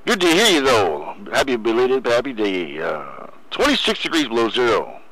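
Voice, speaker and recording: A man's voice: mid-range voice, wildly swooping pitch, moderate pace, a bit bright, no echo, poor audio. A man bantering casually in slang as a CB radio operator, heard over the radio. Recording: CB radio transmission.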